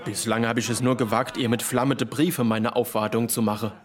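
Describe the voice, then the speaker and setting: An actor playing a vain, love-struck young poet in a period radio drama, florid and self-important. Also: male voice, mid-range voice, flat delivery, fairly quick, natural voice. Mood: bashful, curious. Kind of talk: German radio drama acting in a period setting.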